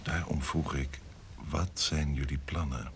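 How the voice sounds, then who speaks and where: An actor playing a scheming, lecherous courtier in an audio period drama, insinuating and savouring his words, often dropping to hushed tones. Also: fairly even intonation, unhurried, slight background noise. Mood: conspiratorial. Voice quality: deep, gravelly. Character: scheming, lecherous male courtier and secret conspirator. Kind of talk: audio drama acting in Dutch period piece.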